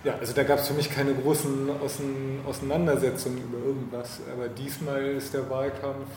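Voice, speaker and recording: A man's voice: medium pitch, flat delivery, fairly quick, darkish tone. A man in a casual political conversation as interviewer, thinking aloud in a rambling way. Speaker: podcast host and interviewer. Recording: roomy echo, clear background noise.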